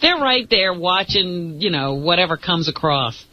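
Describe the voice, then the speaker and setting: A woman's voice: lowish voice, expressive, measured pace. A woman co-hosting a radio show, adding casual commentary and banter. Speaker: radio co-host. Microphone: radio studio microphone. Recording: dull radio recording.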